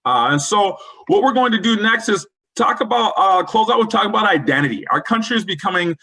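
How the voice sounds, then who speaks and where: A man's voice: sits high, lively intonation, brisk, sharp tone, rough recording. A man presenting a professional development webinar for school counselors, talking in an earnest, coaching way and quoting example phrases.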